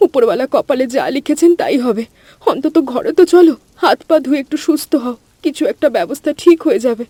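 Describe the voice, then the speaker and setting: A woman voicing a gentle, high-voiced devoted wife. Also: natural voice. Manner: soft, pleading and tender. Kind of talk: cartoon voice acting.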